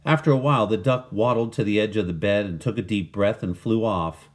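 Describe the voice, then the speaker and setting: A man reading a children's story aloud in expressive scripted narration. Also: fairly low pitch, brisk. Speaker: storybook reader.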